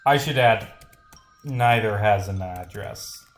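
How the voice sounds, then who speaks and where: A man's voice: lowish voice, slow. Male game developer on stream, off-the-cuff and rambling; roomy sound over music. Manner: rambling, thinking out loud. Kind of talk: casual livestream commentary, reading script lines aloud.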